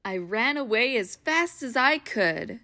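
Female storyteller, bright and clear, warm read-aloud manner for kids, dry close mic. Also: on the high side, unhurried, no room sound. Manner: gentle, expressive, child-friendly reading. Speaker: children's book read-aloud host.

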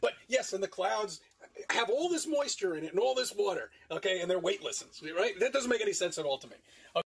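A man's voice: sits high, big pitch swings, fairly quick, vocal fry, fairly bright tone, husky. An older man rambling sarcastically from inside his car, recorded on a phone camera, repeating himself as he goes. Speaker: flat earth video maker. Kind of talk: rambling monologue.

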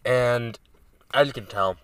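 A man's voice: mid-range voice, takes it slowly, a bit bright, clean tone, distant mic. A man hosting a podcast while sick with a cold, sounding nasal and congested, rambling casually with lots of ums and restarts. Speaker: podcast host. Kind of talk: casual solo review talk.

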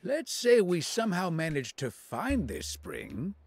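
Game role: wise elderly demon, probing, unhurried yet lively intonation, clear music bed. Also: male voice, sits high, heavily acted. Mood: wary, probing. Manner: measured, probing. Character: wise, wary old male demon elder. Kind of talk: video game cutscene acting.

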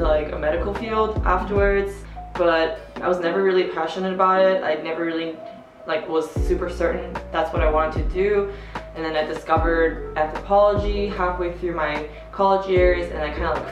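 A woman in her twenties chatting casually as co-host in a living room, rambling with many fillers. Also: fairly low pitch. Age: 20s. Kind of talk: casual chat. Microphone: camera across the room. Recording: roomy echo, mic far off, music behind.

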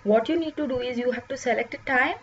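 A higher-pitched woman guides viewers through a web app demo step by step, often pausing on "uh". The recording sounds dull and lo-fi, with a faint hiss behind her voice. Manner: explains step by step with frequent "uh" pauses. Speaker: software demo presenter. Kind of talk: screen-recorded product walkthrough.

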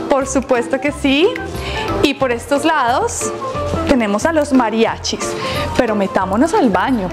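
A young woman gives a chatty, playful walk-through of a restaurant, often laughing at her own jokes, recorded on a lapel mic. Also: high-pitched. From Colombia. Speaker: vlogger touring a restaurant. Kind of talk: casual walk-through vlog. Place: large colorful restaurant interior, indoors.

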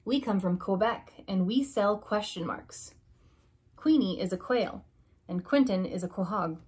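A young woman reading a children's alphabet picture book aloud, slow and deliberate, stressing each opening letter, in a small room. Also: mid-range voice, animated, darkish tone, breathy edge. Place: small room indoors by a curtained window.

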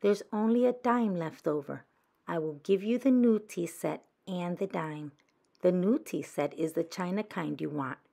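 A woman's voice: lowish voice, a little slow, gravelly, dark tone. A woman voicing a sly, pushy young girl badger from a children's storybook, coaxing and wheedling. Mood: insistent.

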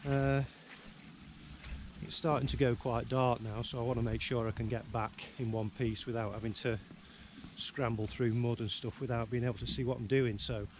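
A middle-aged man speaks close to a handheld camera out on open moorland, and clear outdoor noise runs under his voice. His pitch sits in the middle range. He talks in a halting, casual way, stopping often for ums and restarts. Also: steady tone. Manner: hesitant, many ums and restarts. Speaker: landscape photographer vlogger. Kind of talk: casual on-location vlog talk. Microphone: camera held close to face.

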